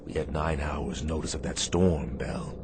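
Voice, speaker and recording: A stern Navy captain for radio theatre. The voice is low and commanding, with sardonic, deliberate phrasing that shows a demanding officer who still cares. Clear shipboard noise sits behind it. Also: male voice, steady tone. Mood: angry, scolding. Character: stern, demanding but caring male Navy destroyer captain.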